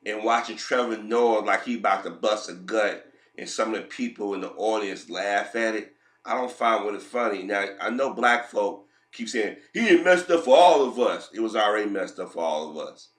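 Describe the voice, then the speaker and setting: A man's voice: fairly low pitch, moderate pace, a bit bright, echoey room. A man getting over a head cold, giving emphatic commentary with frequent repeats and restarts. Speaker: commentary vlogger. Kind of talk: opinionated commentary.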